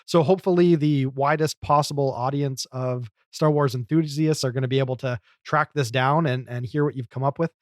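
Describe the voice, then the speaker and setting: A man's voice: medium pitch, steady tone, brisk, hardly any pauses, no room sound, studio quality. A man co-hosting a podcast, talking casually and at length in a chatty, explanatory way. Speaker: podcast co-host. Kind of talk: casual discussion and commentary.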